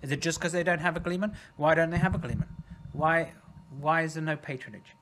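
A man co-hosting a podcast, chatting casually about a book, teasing his partner and firing off quick questions. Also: fairly high pitch, a bit bright. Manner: teasing, quick questions, frequent short agreements. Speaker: podcast co-host.

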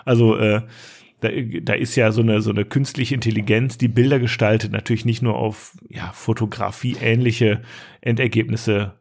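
A man's voice: on the low side, flat delivery, moderate pace. A man hosting a podcast in loose, colloquial, unscripted chat with a teasing touch. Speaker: podcast host.

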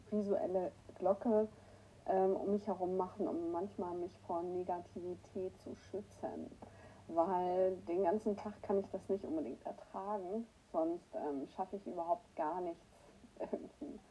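A woman with a cold hosting a casual morning livestream, greeting her viewers by name and clearing her throat often. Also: fairly low pitch, steady tone, unhurried, dark tone, muffled recording, a little hiss or hum. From northern Germany. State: has a cold, hoarse at times. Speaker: livestream host. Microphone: new microphone that is not working right.

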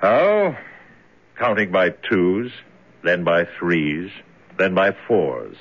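In a dull old radio recording, a detached, resigned male overseer of a grim place. He speaks slowly in a low, matter-of-fact voice. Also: very animated, full character voice. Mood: detached calm. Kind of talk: 1970s radio drama acting.

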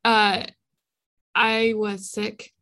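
A woman's voice: mid-range voice, level tone, extremely slow, sharp tone. Chatty woman in her thirties hosting. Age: 30s. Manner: chatty, laughs often, says "like" a lot. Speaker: podcast host. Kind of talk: casual faith podcast chat. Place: in a room with a co-host, in person.

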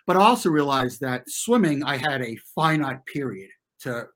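A middle-aged man with an American accent, telling personal stories as an interview guest in an informal, halting way, often circling back to rephrase. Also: mid-range voice, expressive, fairly bright tone. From US, New York and New Jersey. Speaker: interview guest, former competitive swimmer. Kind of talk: reflective conversational storytelling.

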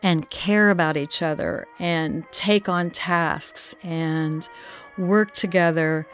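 A woman speaking unscripted in an interview, reflective and a little halting as she recalls family history. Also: fairly low pitch, steady tone. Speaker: interviewee, relative of the family discussed. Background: faint music.

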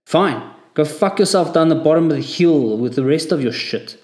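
A man's voice: on the high side, lively intonation, normal speed, voice pushed up, lightly acted. A man voicing a harsh, contemptuous army corporal who barks his orders with scorn, recorded in an echoey room. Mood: barking scorn. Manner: barking, scornful shouting.

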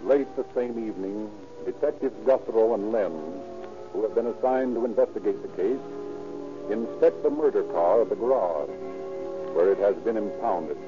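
A man's voice: on the low side, moderate pace, lightly acted. A man narrating a 1930s radio drama in scripted style. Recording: tinny old radio recording. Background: music.

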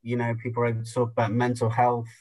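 A man speaking as a podcast guest in reflective conversation, thoughtful, measured and halting. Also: mid-range voice, completely flat delivery, darkish tone, poor audio.

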